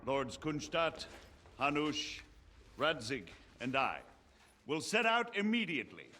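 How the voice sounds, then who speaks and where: A man playing a resolute, stately lord in a video game, speaking in a measured, oratorical way. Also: fairly high pitch, sing-song, a little hiss or hum, full character voice. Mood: solemn, resolute. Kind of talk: video game drama acting. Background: loud music.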